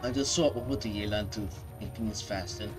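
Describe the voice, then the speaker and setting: A man's voice: lowish voice, brisk, music in the background. A young man reacting to a game, with brief mumbled asides into a desk mic. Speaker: reaction streamer. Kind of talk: casual gameplay reaction.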